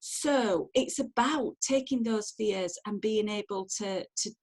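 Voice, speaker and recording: A middle-aged woman with a Sheffield accent giving an informal online training talk from home, chatty, animated and direct. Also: medium pitch, slow pace, slightly rough. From Sheffield, England. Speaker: empowerment coach giving online networking training. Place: at home on a video call. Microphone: video call, wearing headphones.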